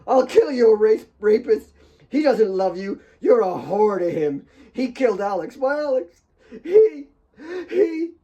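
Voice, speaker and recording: A man's voice: high voice, sing-song, a little slow, mellow, lo-fi sound, pitched above the performer's own voice. A man voicing a hysterical, grief-stricken, hate-filled young woman in an audiobook, shrieking and spitting out broken, repeated words.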